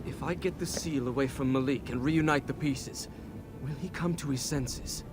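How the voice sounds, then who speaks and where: An actor playing an earnest, defiant young prince in a dramatic, urgent way for a video game cutscene. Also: male voice, mid-range voice, moderate pace, music in the background, lightly acted. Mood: earnest, resolute.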